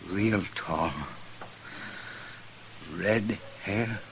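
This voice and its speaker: An actor playing a badly beaten frontier gambler, weak and halting, in a radio western. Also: male voice, lowish voice. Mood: pained, dazed. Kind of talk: 1950s western radio drama acting. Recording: noisy old radio broadcast recording.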